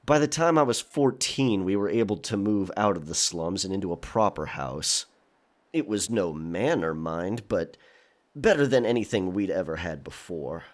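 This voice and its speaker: A man playing a world-weary young pirate with a disgraced past in an audio drama, speaking in a halting, wry way. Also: low voice, expressive. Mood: halting, rueful.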